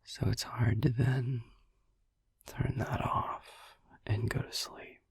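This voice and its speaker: Soothing vampire boyfriend, played by a man for sleep-aid audio: very slow, gently musing whisper, close and dry. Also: medium pitch, fairly even intonation, no echo, exaggerated voice. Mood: hushed, soothing. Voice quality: breathy whisper with gravelly creak. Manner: slow, hushed, gently musing with long pauses. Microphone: close microphone, dry.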